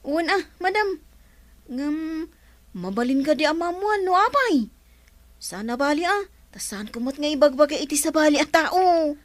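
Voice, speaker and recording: A woman's voice: high voice, animated, moderate pace, dry recording. A woman in a radio drama playing a chatty, gossipy male helper who teases and laughs often. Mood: curious.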